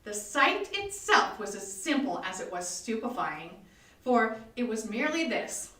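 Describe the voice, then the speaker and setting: High-pitched woman reading gothic horror, echoey. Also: big pitch swings, unhurried, fairly bright tone. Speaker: reader of horror stories. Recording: roomy echo, distant mic, live online stream.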